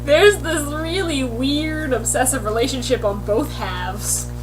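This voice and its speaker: A woman's voice: high-pitched, unhurried, far from the mic, over music, low-quality recording. A woman making casual, joking side comments as a co-reader.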